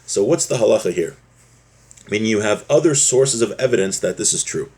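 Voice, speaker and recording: Husky-voiced man teaching a class. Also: fairly low pitch, expressive, brisk, a little mellow. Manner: repeats and rephrases, checks in with "right?". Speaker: religious teacher giving a class. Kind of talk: informal explanatory lesson reading from a text.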